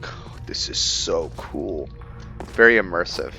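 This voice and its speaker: A man giving casual let's-play commentary, curious and thinking out loud, now and then dropping to a hushed whisper. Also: low-pitched, animated, takes it slowly, creaky, soft background music. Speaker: gameplay commentator.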